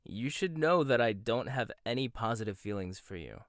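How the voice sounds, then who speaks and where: Smug rival chef, a young man voicing him, teasing and competitive, mid pitch, dry close-mic ASMR. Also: expressive, normal speed, a bit bright, no room sound. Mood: gruff. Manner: teasing, smug, laughs often. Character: cocky rival male chef, condescending and competitive. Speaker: voice actor. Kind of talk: boyfriend ASMR roleplay.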